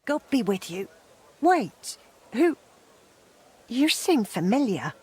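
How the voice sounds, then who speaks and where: A woman playing a forgiving, no-nonsense townswoman past her youth in a dramatic game, with a brisk, kindly manner. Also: on the high side, big pitch swings, a little mellow, breathy edge, exaggerated voice. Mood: surprised. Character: forgiving, brisk older townswoman, self-described old crone.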